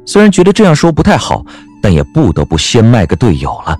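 A man narrating a scripted story in a wry, expressive manner. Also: mid-range voice, fast talker, faint music behind.

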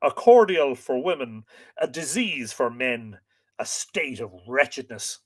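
A man's voice: medium pitch, lively intonation, a little slow. Male reader as scornful storybook warrior, grumbling, emphatic, raised medium voice. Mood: grumbling disdain.

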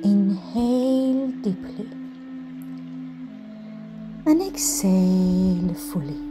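A woman leading a scripted guided meditation in a soothing manner, giving instructions in short phrases with pauses between them. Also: mid-range voice. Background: music.

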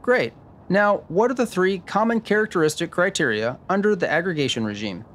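Man playing a lively genial tax sleuth. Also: high-pitched, fairly quick, a little hiss or hum. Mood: explanatory. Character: genial, sharp male tax expert in a noir tale. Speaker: podcast host acting in a radio drama. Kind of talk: scripted radio drama dialogue.

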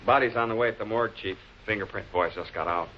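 An actor with an American accent playing a hard-boiled, wisecracking police lieutenant with a clipped, flippant manner in a 1940s crime drama. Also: male voice, fairly low pitch, fast, lightly acted. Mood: flippant, brisk. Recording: dull, hissy old 16 mm film soundtrack.

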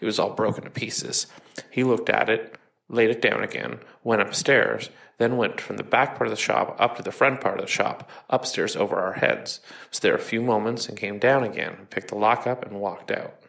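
Gravelly 1890s carpenter witness, played by a man. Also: lowish voice, fairly even intonation, normal speed, fairly bright tone. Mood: matter-of-fact. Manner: deferential, matter-of-fact, echoing the question. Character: plain-spoken, obliging male 1890s carpenter on witness stand. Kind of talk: dramatic reading of a court transcript.

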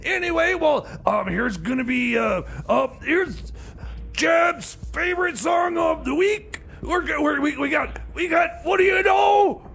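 A man's voice: very high voice, expressive, unhurried, gravelly, a bit bright, shouting, full character voice. A man playing a flustered, defensive rookie host in radio comedy, stammering and repeating himself as he hesitantly rambles. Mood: nervous, excited. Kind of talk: comedy radio theater, live music-show hosting.

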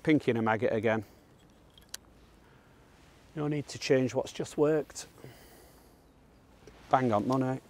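A man's voice: mid-range voice, slow, long pauses, darkish tone. A man, around his thirties, with a Northern English accent, gives casual, matter-of-fact instructional commentary outdoors while fishing. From northern England. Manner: plain-spoken, colloquial, matter-of-fact. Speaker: angler presenting a fishing guide. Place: outdoors on the bank of a pond.

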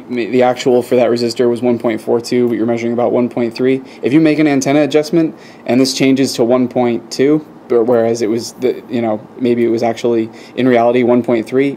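A man's voice: medium pitch, mellow, slight background noise. A man giving a casual, unscripted hobbyist demonstration to camera, speaking in a hesitant, rambling way and often correcting himself. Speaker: video host, radio hobbyist. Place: indoors at a wooden workbench.

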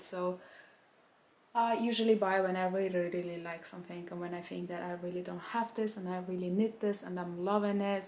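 A woman's voice: lowish voice, a little mellow, a little hiss or hum. A young woman in her mid-twenties from Armenia, chatting casually to the camera while she tidies her small apartment, a little nervous and reflective. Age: mid-20s. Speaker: vlogger. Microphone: camera on a stand, some lines away from it.

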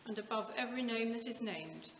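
Older english lady reading a bible passage, voice a bit high, echoey church. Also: brisk. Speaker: scripture reader at a church service. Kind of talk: formal scripture reading. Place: inside a stone village church. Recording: echoey stone church, audible room noise.

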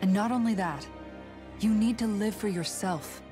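A woman's voice: medium pitch, moderate pace, music in the background, lightly acted. An actress playing a firm, blunt yet compassionate young warrior woman, earnest and direct, in a dramatic video game.

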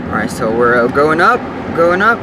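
A man's voice: a little high, sing-song, normal speed. A young man hosting a car vlog, chatting step by step while he drives, recorded on a dashboard camera. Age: young adult. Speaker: car channel host. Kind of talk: casual vlog narration. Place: inside a car on the street. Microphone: camera mounted on the dashboard. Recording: road noise in a moving car. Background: car and road noise.

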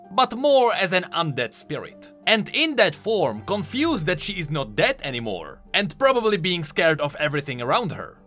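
Animated, bright-voiced man explaining game lore. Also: a little high. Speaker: video game lore YouTuber. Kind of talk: explanatory voice-over commentary. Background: music.

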